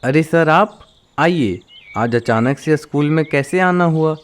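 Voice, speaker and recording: A man's voice: a little high, measured pace, close to an everyday voice. A man voicing a deferential, placating crow who works as a school principal in a Hindi cartoon. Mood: puzzled, placating. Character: polite, sensible male crow school principal.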